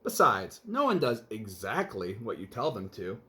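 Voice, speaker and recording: A man voicing a calm, patient housewife in a children's storybook, gentle and reassuring. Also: on the low side, normal speed.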